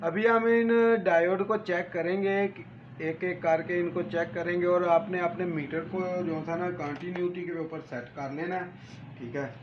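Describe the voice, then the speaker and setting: A man's voice: high voice, fairly even intonation, unhurried. A man giving an informal hands-on repair tutorial, speaking straight to viewers and repeating his points. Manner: addresses viewers directly, repeats points and checks for understanding. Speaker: electronics repair tutorial host. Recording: echoey room, background noise.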